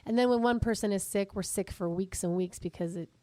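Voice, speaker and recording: A middle-aged woman with a husky, raspy voice, hosting a casual podcast chat, joking about herself and laughing often. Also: medium pitch, fairly quick, mellow, no room sound. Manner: chatty, self-deprecating, laughs often. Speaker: podcast host.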